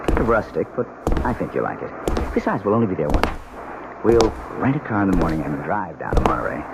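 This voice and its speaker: A charming, evasive new husband. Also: male voice, low voice, big pitch swings, quick pace, vocal fry, very noisy, full character voice. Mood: breezy. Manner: breezy, charming. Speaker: actor in radio drama. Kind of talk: 1970s radio drama acting.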